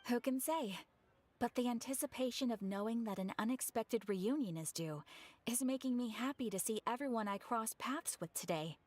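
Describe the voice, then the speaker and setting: A woman's voice: a little high, moderate pace, darkish tone, no room sound, faint music behind, close to an everyday voice. A woman voicing a polite, composed young receptionist at a guild in an anime game, courteous and formal. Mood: polite, upbeat.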